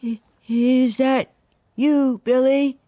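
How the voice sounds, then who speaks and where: Feeble old woman, high soft mellow voice, faltering, bewildered, radio drama. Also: level tone, extremely slow, no room sound. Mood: frail, bewildered. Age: elderly. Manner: faltering, broken phrases. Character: decrepit, confused old mother, frail and fading.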